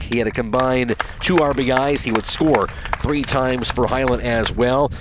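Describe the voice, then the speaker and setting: Male American sportscaster over clear background noise. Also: medium pitch. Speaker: radio sportscaster. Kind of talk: post-game baseball play-by-play recap with sponsor reads.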